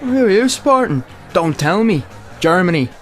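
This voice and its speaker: A man's voice: high voice, very animated, normal speed. A man with an Irish accent acting an earnest, plain-spoken Irish soldier in a radio play, in narration and dialogue. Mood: teasing banter. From Ireland. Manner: reflective first-person storytelling. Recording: faint noise and background ambience.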